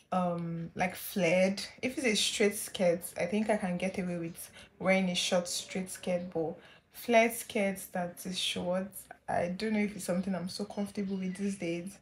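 A young woman, chatting casually at home as a lifestyle vlogger, relaxed and gushing with soft laughs. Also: medium pitch. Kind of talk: casual chat to camera.

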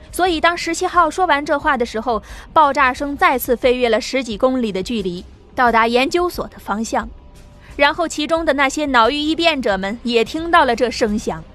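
High-pitched woman narrating a story. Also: animated, moderate pace, fairly bright tone. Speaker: audiobook narrator. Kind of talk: scripted dramatic story narration. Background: background music.

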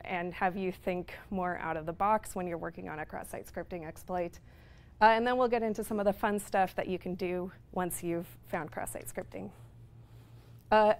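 A woman in her thirties giving a technical talk in a lecture room, explaining at length with frequent fillers. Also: mid-range voice. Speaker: conference speaker. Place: lecture room with projector screen.

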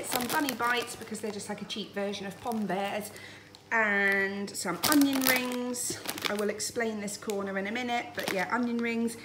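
British woman, chatty and fairly high-voiced. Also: noisy background. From United Kingdom. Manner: chatty, informal. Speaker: family vlogger. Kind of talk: casual grocery haul narration. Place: home dining room, indoors.

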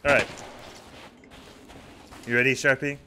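A man chatting casually while playing a co-op game, excitable and jokey, often exclaiming. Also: mid-range voice, unhurried. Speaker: gaming video host and player. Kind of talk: casual chat during co-op game play. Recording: loud game noise and clicks behind. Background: pirate video game sounds.

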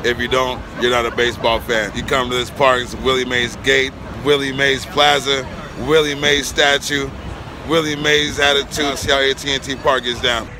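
A man's voice: mid-range voice, flat delivery, normal speed. A man in his thirties vlogging on a phone held at arm's length, chatting enthusiastically as he tours a busy ballpark. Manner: upbeat, enthusiastic and chatty with fans. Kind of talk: casual selfie-camera vlog narration. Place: outdoors and in a busy baseball stadium concourse. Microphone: phone camera at arm's length. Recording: noisy crowd and background music. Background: crowd chatter and music.

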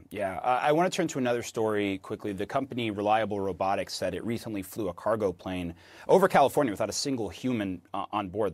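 A man with an American accent anchors a live news interview. His voice sits a little low, and the sound is dry and clean, as in a TV studio. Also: no echo. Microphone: lapel mic.

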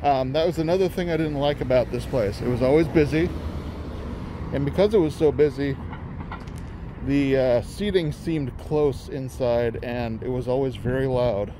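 A man's voice: medium pitch, normal speed. Rambling man vlogging outdoors. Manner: rambling, musing aloud with fillers. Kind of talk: casual walking vlog narration. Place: outdoors in a parking lot by a closed restaurant. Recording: outdoor traffic noise.

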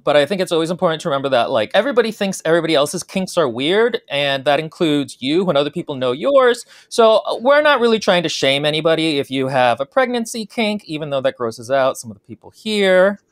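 A woman's voice: sits low, very animated, fast talker, hardly any pauses. A woman hosting a podcast over a video call in a casual, comedic, chatty style. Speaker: podcast host.

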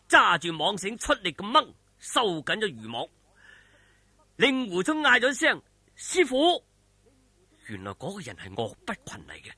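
A man with a Hong Kong Cantonese accent telling a story dramatically, with animated, suspenseful delivery. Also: on the high side, husky, no room sound.

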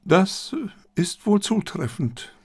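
A man voicing an old, proud, disciplined Japanese army general who speaks in a formal, measured way. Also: sits high, expressive, slightly rough, no room sound, pitched above the performer's own voice, full character voice.

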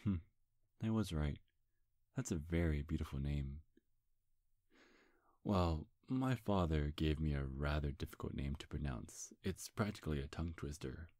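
Very deep-voiced, flirtatious demon seducer played by a man. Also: moderate pace, pauses often, darkish tone, no room sound, studio quality. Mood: flirtatious, coy. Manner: flirtatious, sly, hesitant stammers. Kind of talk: intimate ASMR roleplay. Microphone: close, dry microphone.

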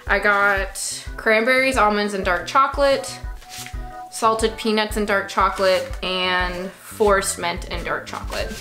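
Bright young woman, chatty vlogger. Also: medium pitch, steady tone, measured pace, soft background music. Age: young adult. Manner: chatty, matter-of-fact, with dry asides. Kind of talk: casual grocery haul vlog. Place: small bedroom at night.

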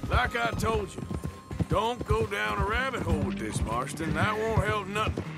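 An actor playing a stoic, tough American outlaw in a video game, speaking bluntly in a folksy rustic drawl. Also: male voice, sits high, normal speed, creaky. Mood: firm, calm. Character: steady, stoic tough male outlaw gunslinger. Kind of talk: video game dramatic dialogue. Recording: loud music, horse hooves behind.

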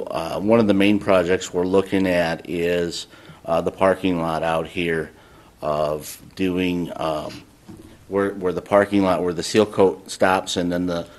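A man, the city administrator, giving a plain update with uh fillers at a council meeting in a city council chamber. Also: low voice.

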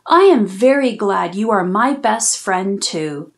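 A sweet, sensitive female viper from a children's storybook , read by a woman. Her lines are gentle and expressive, with widely moving pitch. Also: takes it slowly, vocal fry. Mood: grateful.

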